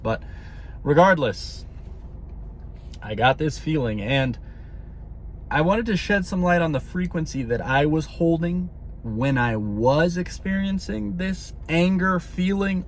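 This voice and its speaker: A man's voice: a little high, a little hiss or hum. Earnest man rambling to his viewers. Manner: keeps tagging lines with "okay?", repeats words. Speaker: spiritual vlogger. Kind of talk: casual monologue to viewers.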